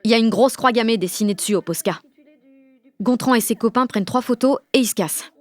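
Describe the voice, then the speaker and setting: A woman's voice: a little high, quick pace, dry recording, lightly acted. An actress playing a blunt, fiery sixteen-year-old French girl who narrates an audio drama in sardonic slang. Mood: sardonic, irritated. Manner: colloquial, heavily slangy, sardonic.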